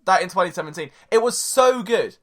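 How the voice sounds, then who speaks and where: A young man with a high voice hosting a solo sports podcast, rambling and excitable, swinging between loud and soft. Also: a little fast. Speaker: podcast host.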